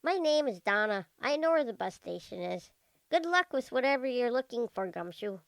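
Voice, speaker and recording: A woman's voice: a little high, big pitch swings, moderate pace, creaky, no room sound. Radio drama role: cagey young street girl of eleven, played by a woman, out to hustle a dime, very dry sound. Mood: cagey, bargaining. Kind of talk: old-time radio detective drama acting.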